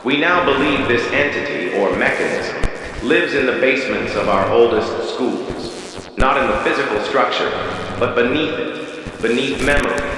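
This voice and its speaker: A man's voice: medium pitch, lively intonation, lightly acted. Man acting as grave announcer in cavernous echo. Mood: grave warning. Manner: solemn, measured, ominous. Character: grave male official announcer of an eerie emergency broadcast. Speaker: emergency broadcast announcer. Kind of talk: scripted analog horror public safety announcement. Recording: cavernous echo and reverb, noisy tape.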